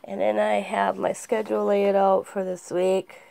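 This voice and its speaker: A hoarse woman with a cold, chatting casually as she walks through her planner pages item by item. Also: mid-range voice, little pitch movement, moderate pace, dark tone, dry recording. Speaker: planner vlogger. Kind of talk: casual show-and-tell narration. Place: indoors at a craft desk. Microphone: camera pointed down at the desk, speaker off-screen.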